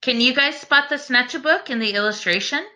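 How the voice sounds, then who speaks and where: A woman teacher doing an expressive children's read-aloud, speaking in an encouraging way aimed at young listeners. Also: high-pitched, a little fast. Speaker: teacher reading a picture book aloud.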